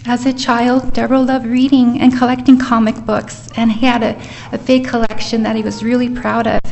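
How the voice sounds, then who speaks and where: A woman giving a steady, earnest life sketch as a eulogy at a funeral service in a chapel. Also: fairly high pitch, normal speed, gravelly, a little hiss or hum. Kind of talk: reading a eulogy.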